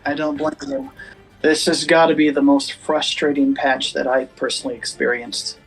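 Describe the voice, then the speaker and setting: A man with a soft, gentle voice, chatting casually over voice chat with a streamer. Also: medium pitch, steady tone, normal speed, soft background music, poor audio. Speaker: guest gamer.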